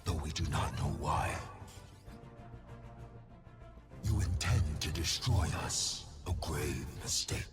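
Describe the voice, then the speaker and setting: A man voicing a cold, contemptuous cosmic warlord villain in a video game, taunting deliberately as one who speaks for many. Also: rumbling low, over music. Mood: menacing taunt. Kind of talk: video game character voice lines.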